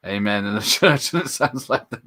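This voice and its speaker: Man, lowish voice, rambling movie-night commentary, chatty, laughs a lot. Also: lively intonation, a little fast, bright, forceful tone. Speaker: watch party host. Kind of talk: casual live movie commentary.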